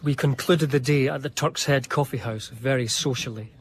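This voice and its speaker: A man playing an earnest young gentleman diarist, narrating a period radio drama in a measured, confiding, literary way. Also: mid-range voice, fairly even intonation, slight background noise, close to an everyday voice. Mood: pleased, reflective.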